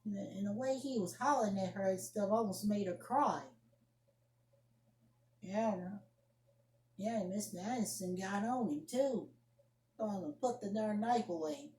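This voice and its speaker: A woman acting an indignant young woman in a solo amateur monologue, scolding and defending herself emphatically with repetitions and halting restarts. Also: medium pitch, darkish tone, lightly acted. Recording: echoey room.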